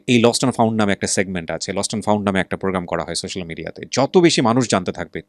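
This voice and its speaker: A man's voice: on the low side, dry recording, studio quality. A man with a Bangladeshi accent presenting and interviewing conversationally as a radio show host, mixing in English words and probing with questions. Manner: probing, asks follow-up questions; mixes English words into speech.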